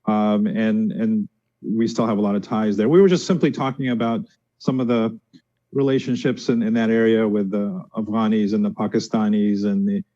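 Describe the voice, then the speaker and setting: A man raised in the United States talks as a guest on a show, thoughtful and hesitant, pausing with fillers. His voice is low and mellow. The recording sounds dull and lo-fi. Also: steady tone. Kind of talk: casual interview talk.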